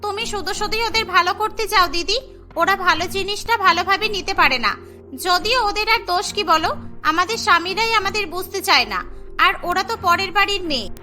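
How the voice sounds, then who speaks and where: Shrill, sharp-tongued young wife. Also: female voice, expressive, normal speed, heavily acted. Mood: resentful. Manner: complaining, reproachful. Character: shrill, sharp-tongued young daughter-in-law in a family drama. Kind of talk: melodramatic cartoon dialogue. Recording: music bed behind the voice.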